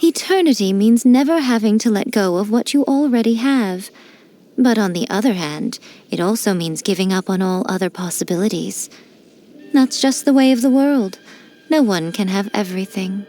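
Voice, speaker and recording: A woman's voice: mid-range voice, dark tone, close to an everyday voice. Sly fox-spirit priestess played by a woman, teasing, languid, condescending, game voice lines, faint music behind. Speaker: game character.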